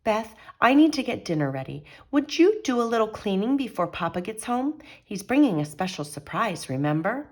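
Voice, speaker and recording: A woman voicing a gentle, reassuring mother in a Christmas storybook, tender and motherly. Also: medium pitch, sing-song, moderate pace, hardly any pauses, pitched above the performer's own voice. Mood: brisk, kind.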